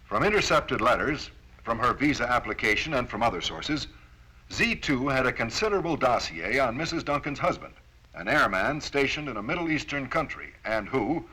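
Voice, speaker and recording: A man's voice: mid-range voice, big pitch swings, normal speed, pushed and bright, husky, low-quality recording. An American man delivering stern, formal, authoritative scripted narration as presenter of a Cold War-era military training film.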